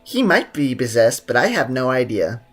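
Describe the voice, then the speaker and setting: A man's voice: medium pitch, sing-song, normal speed, fairly bright tone, soft background music. A man live-streaming a game, commenting in a joking, playful way with lots of slang.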